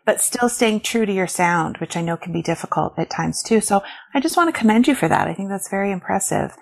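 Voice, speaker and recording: A woman's voice: medium pitch, sing-song, a little fast, creaky. A woman of fifty, hosting a casual podcast interview in an effusive, complimentary way. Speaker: podcast host.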